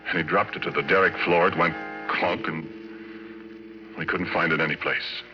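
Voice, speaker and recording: An actor playing a rough, plain-spoken oil worker telling his horror story in a halting, folksy way, in 1940s radio style. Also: sits low, steady tone, natural voice. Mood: haunted, brooding. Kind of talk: 1940s radio horror acting, first-person narration. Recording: muffled old radio recording, music underneath.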